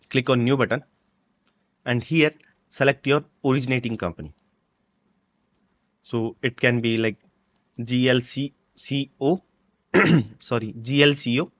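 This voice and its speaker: A man presenting a step-by-step software tutorial in halting short phrases, with dull, lo-fi microphone sound. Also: medium pitch, no echo. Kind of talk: step-by-step screen-recording walkthrough.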